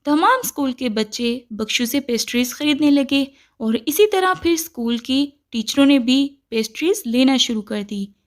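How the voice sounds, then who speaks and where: A woman with a high voice narrates a moral story for children. Her delivery is scripted and measured, with the instructive manner of a storyteller. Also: expressive.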